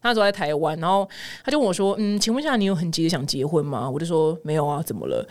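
A woman hosts a casual podcast in Taiwanese Mandarin at a brisk clip. Her pitch swings widely as she tells stories to her listeners. She comes across as frank, expressive and ready to poke fun at herself. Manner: candid, self-mocking, expressive. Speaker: podcast host of a humorous news show. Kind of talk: casual chatty storytelling to listeners.

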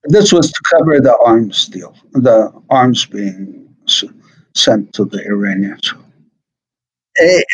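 Slow, halting man being interviewed. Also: mid-range voice, husky, dull audio. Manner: slow, halting, with many fillers. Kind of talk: recorded interview.

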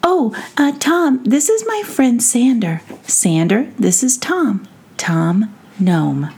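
Storybook little girl played by a woman: polite, sweet, gushing, high and very animated. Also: slow, husky, exaggerated voice. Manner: gushing, earnest.

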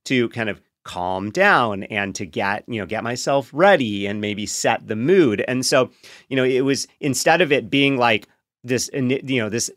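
A man speaking as a podcast guest, explaining things candidly in a reflective, measured, conversational way. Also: mid-range voice, fairly bright tone, dry recording, clean studio sound. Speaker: podcast guest, co-author and partner of a sex therapist.

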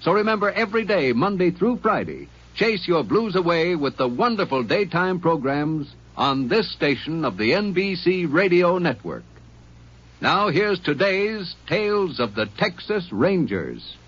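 A man's voice: high-pitched, measured pace, slightly rough. A man announcing programs for a radio network in a 1950s broadcast. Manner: lively promotional delivery. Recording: muffled old radio broadcast.

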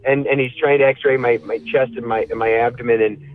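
A man telling a casual, animated comic story in a halting, rambling way, acting out bits of dialogue. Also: mid-range voice, narrow, tinny audio, a little hiss or hum.

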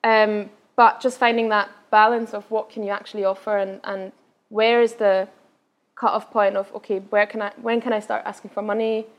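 A young woman from Austria, whose first language is Austrian German, with an Austrian accent with Scottish touches. She answers audience questions at a live event in a fast, chatty way with many fillers and restarts. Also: medium pitch, little pitch movement, highs cut off. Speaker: guest speaker.

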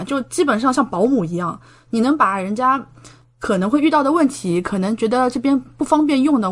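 Young woman with a higher-pitched voice. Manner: chatty, explains with many concrete examples. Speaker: podcast guest, medical PhD student studying in Japan. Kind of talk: casual podcast conversation.

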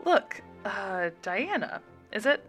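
Pregnant woman, sharp-tongued and stubborn, ranting and insistent; read by a woman. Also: mid-range voice, big pitch swings, slow pace, soft background music. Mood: insistent, lecturing. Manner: ranting, insistent, rising to shouts.

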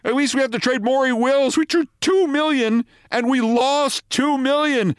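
A man voicing a panicking, high-strung baseball executive who shouts frantically in a story. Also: moderate pace, clean tone, no room sound, clean studio sound. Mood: frantic anger. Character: panicking, high-strung baseball general manager, near hysterical.

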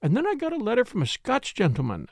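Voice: mid-range voice, huge pitch swings, quick pace, creaky, no echo, studio quality, pitched above the performer's own voice, exaggerated voice. A reader voicing a fussy, tearful lady who talks in a fluttery, rambling, self-pitying way. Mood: aggrieved. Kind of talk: audiobook character reading.

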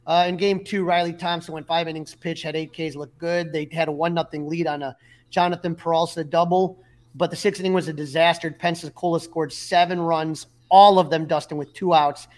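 Guy hosting a sports podcast, kinda high voice, rambly and chatty, lots of likes and uhs. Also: steady tone, a little fast. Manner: storytelling, lots of fillers. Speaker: podcast co-host. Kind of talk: casual sports chat.

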